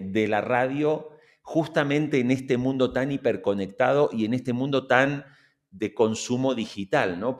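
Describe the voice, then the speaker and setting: Middle-aged Latin American man interviewing, medium pitch. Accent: Latin American Spanish. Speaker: podcast host, interviewer. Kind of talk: conversational interviewing. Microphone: large mic close in front.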